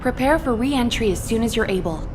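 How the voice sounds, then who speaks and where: A woman's voice: on the high side, animated, fairly quick, lightly acted. A woman playing a composed military briefing officer in a video game, speaking formally and instructively. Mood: serious, composed. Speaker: mission briefer. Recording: clear background noise.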